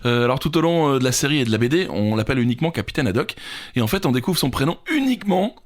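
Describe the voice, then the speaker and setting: A man's voice: mid-range voice, sing-song, racing. A man hosting a quiz podcast in a casual, playful way.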